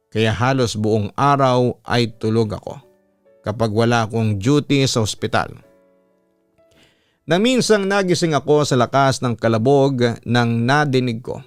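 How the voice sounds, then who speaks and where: A Filipino man narrates a written personal story in the practised style of a radio announcer. His voice sits at a middle pitch and is recorded close and dry. Music plays softly behind him as he reads. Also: clear, resonant tone, no echo. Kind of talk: scripted narration of a listener's letter.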